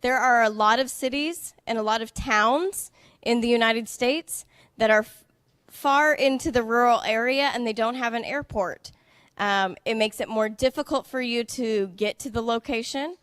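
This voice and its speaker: A woman giving a slow, patient presentation to students, checking they understand as she goes. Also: on the high side, a bit bright, smooth, no echo. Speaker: international student services director. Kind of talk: slow, simple presentation for English learners.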